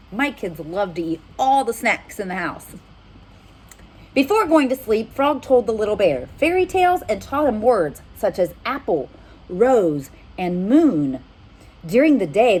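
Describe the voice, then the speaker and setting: Female storytime reader, very animated intonation, slightly husky, addressing small children. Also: mid-range voice, normal speed, low-quality recording. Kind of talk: animated picture-book narration with asides to children.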